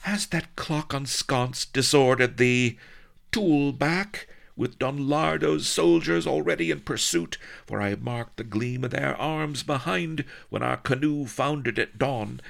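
Guy doing a husky vamp pirate lady, way higher voice, dramatic old-timey talk. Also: unhurried, a bit bright. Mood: seductive. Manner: husky, theatrical, archaic speech. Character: sultry, scheming female pirate temptress.

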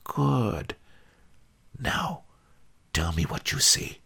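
A man doing a gentle old sage, voice raised high. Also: extremely slow, gravelly, slightly rough, full character voice. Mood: coaxing. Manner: calm, coaxing, soft-spoken. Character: wise old male sage, gentle teacher.

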